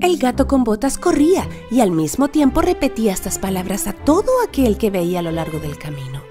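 A woman narrating a children's fairy tale in an expressive, dramatic storytelling manner. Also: mid-range voice, a little fast, music in the background.

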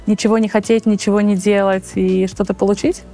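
Young woman interviewer, measured questions. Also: medium pitch, level tone, mellow. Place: exhibition hall indoors. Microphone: lapel.

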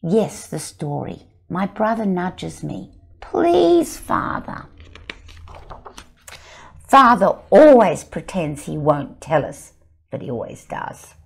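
Expressive husky older woman storyteller. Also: lowish voice, takes it slowly. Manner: expressive, dramatic storyteller, breathy at times. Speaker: author reading her picture book. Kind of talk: storybook reading with commentary. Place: indoor studio set, seated in armchair.

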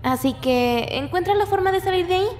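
A woman's voice: extremely high pitch, expressive, a little fast, a little mellow, a little hiss or hum. A woman voicing a spirited, streetwise young weapons fan in a Latin American Spanish game dub. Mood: teasing concern.

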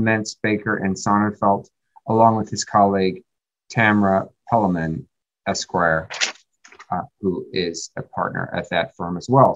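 A middle-aged American man chairing a hearing over a video call, haltingly reading formal introductions from notes. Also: fairly low pitch, dull audio. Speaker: committee chair opening a hearing. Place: indoor office, on a video call.

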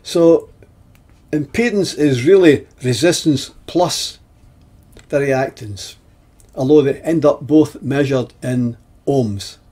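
A man in his seventies giving an explanatory technical lesson in a deliberate, halting way, with pauses between phrases and a husky voice. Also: medium pitch, dark tone. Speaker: presenter of an instructional video.

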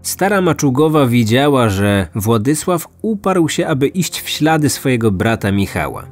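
A man's voice: medium pitch, very animated, normal speed. A man narrating a scripted true-crime podcast as its host. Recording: music under the voice. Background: background music.

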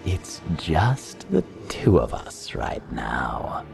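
A man playing a menacing, mocking villain in an audio drama, gravelly and taunting, slipping into whispers. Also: very deep voice, huge pitch swings, exaggerated voice. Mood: taunting menace. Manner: slow, taunting, theatrical. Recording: loud music under the voice.

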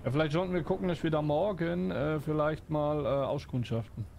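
Gravelly, hesitant man in role-play chat. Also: on the high side, fairly even intonation, a little fast, darkish tone, slight background noise. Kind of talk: improvised in-character chat in a survival role-play.

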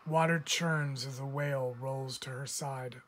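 A man reads a children's picture book aloud in a mid-range voice. He gives key phrases expressive emphasis, as a schoolteacher does. Faint noise sits behind the indoor recording. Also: medium pitch, measured pace. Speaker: teacher reading aloud. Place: indoors, book filmed from above.

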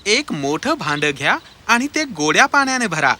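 A man voicing a bold young magical fish prince in an animated fairy tale, urgent and instructing. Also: high-pitched, moderate pace, full character voice.

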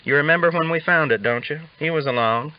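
A teasing old Texas cowboy played by a male audiobook reader who loves to talk. His speech is folksy and pitched up from a low narrating voice, on a slightly muffled recording. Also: fast talker, clear, resonant tone, lightly acted. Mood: teasing, animated.

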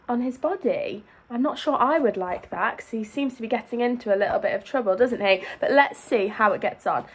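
A young woman reads a children's story aloud in a high voice. Her pitch moves in big, lively swoops. She speaks like a primary teacher, stressing and lengthening key words so that young listeners can follow. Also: husky. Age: young adult. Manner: expressive, drawn-out emphasis on key words.

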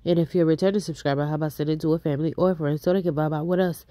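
Woman host, stuffed-up nose, breathless rapid gossip chatter, warm low tone. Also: fairly even intonation, no echo, studio quality. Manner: chatty, fast, reads out posts. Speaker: YouTube host. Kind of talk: casual celebrity gossip commentary.

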